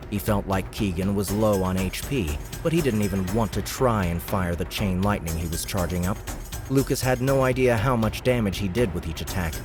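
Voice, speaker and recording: A man narrating a game-world audiobook in a steady, measured storytelling voice. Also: sits low. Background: background music.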